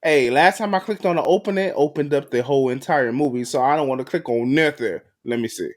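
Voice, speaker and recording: A man's voice: medium pitch, fast. A man hosting a podcast in casual, slangy, promotional chat. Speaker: podcast host and voice actor.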